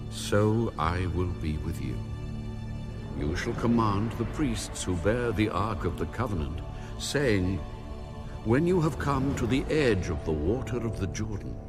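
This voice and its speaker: A man's voice: fairly low pitch, expressive, over music. A man playing God in a dramatized Bible, speaking with solemn, commanding majesty. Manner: solemn, weighty, measured. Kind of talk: dramatized audio Bible acting.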